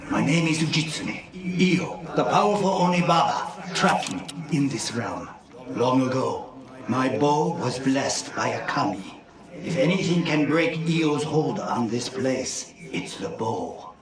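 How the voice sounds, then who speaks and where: A man's voice: mid-range voice, lively intonation, measured pace, natural voice. An actor voicing the ghostly spirit of an ancient male samurai archer in a game, solemn and formal, echoey and distant over crowd noise.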